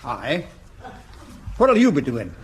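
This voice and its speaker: An actor playing a dour, sceptical older Scottish private in a radio sitcom, asking pointed questions. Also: male voice, mid-range voice, huge pitch swings, takes it slowly. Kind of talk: broadcast radio sitcom acting. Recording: noisy vintage radio comedy recording.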